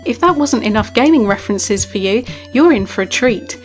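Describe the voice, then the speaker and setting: A woman narrates a scripted profile of a game character. Her voice sits a little high, and music runs behind the narration. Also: animated, normal speed.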